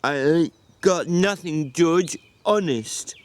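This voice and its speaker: A man voicing a simple-minded, childlike man in a story reading, eager and forgetful, with a halting, stammering delivery. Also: on the high side, lively intonation, no echo. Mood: sheepish, pleading.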